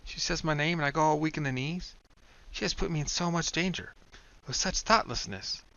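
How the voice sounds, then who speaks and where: A man's voice: a little high, fairly quick, vocal fry, sharp tone, highs cut off, pitched above the performer's own voice. A man voicing Brian, an exasperated, sarcastic young man pulled into danger, grumbling in a wry way. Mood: inner muttering. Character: young man, bewildered, exasperated, reluctant hero.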